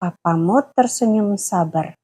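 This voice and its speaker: A woman storyteller narrating a children's bedtime-style tale gently, pausing to explain words to a child listener. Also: mid-range voice, husky.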